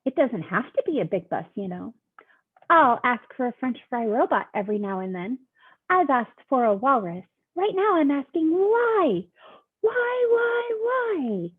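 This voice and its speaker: Woman playing a high, whiny cartoon pigeon, dramatic begging, tinny audio. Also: sing-song, slightly rough, full character voice. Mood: pleading, whiny. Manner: dramatic, pleading, drawn-out whines. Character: whiny, excitable male pigeon who keeps asking. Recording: tinny phone-like sound.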